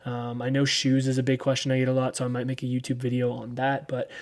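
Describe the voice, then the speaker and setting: A man of thirty giving a casual weekly running recap, relaxed and rambling with lots of ums. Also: mid-range voice, quick pace. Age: 30s. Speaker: running vlogger.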